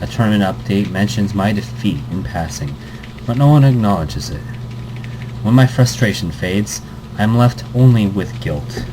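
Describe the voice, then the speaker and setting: A man's voice: fairly low pitch, lively intonation, normal speed, noisy background. A young man hosting a let's play, reading a visual novel aloud with joking asides and fillers.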